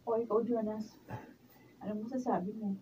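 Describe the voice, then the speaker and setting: A woman's voice: mid-range voice, steady tone, moderate pace, close to an everyday voice. A woman playing a probing, insistent family member in a radio drama, with a questioning manner. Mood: concerned, pressing. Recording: dull, noisy, distant room recording.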